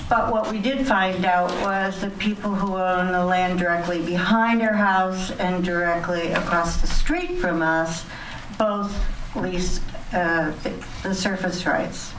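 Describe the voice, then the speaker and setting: A woman speaking as a resident at a public town meeting, hesitant and measured as she gives her comment. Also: lowish voice, fairly even intonation. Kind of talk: public comment, partly prepared. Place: community center meeting hall. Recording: echoey, distant, noisy meeting-hall recording.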